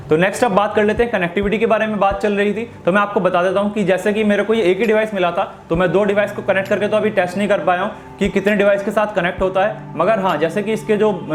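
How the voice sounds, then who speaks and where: A man giving an informal gadget review, explaining things step by step with repetitive phrasing. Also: sits high. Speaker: product reviewer. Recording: echoey room, faint music behind.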